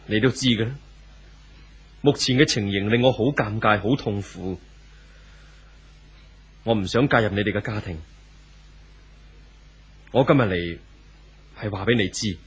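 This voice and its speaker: An actor playing a frank, troubled lover in a 1970s radio drama, firm and reasoning, with a Hong Kong Cantonese accent. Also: male voice, lowish voice, breathy edge. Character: frank, troubled lover confronting a married man.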